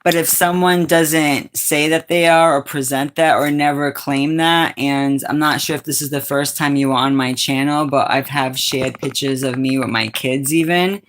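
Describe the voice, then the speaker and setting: A middle-aged woman from Brazil with a raspy, fairly low voice, chatting casually with viewers on a livestream and calling them sweetheart and darling. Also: steady tone, normal speed, hardly any pauses, slight background noise. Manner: laughs often, calls viewers sweetheart and darling. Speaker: livestream host. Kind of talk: casual chat answering viewers.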